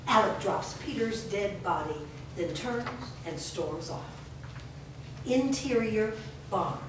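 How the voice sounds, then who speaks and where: A woman narrates scripted stage directions at a staged reading. Her voice has a medium pitch and comes from far off in a very echoey, noisy room. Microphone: distant microphone.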